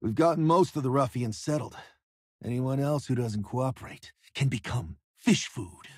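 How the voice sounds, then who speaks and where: A man voicing a rough, loyal pirate crewman in a video game, speaking gruffly. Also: medium pitch, normal speed, darkish tone, no room sound, clean studio sound, lightly acted. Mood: eager, menacing.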